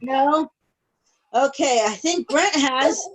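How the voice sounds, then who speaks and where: A woman with a high voice reads a storybook aloud to small children. She speaks as a storyteller, moving between the text and simple questions to her young listeners, over a video call. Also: slight background noise, rough recording. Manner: pauses to check in with young listeners.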